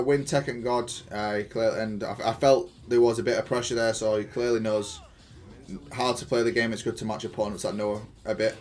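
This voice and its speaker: A man's voice: mid-range voice, a little hiss or hum. A man giving casual gameplay analysis of his own match in an informal, matter-of-fact, self-critical way. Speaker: gaming content creator reviewing own match.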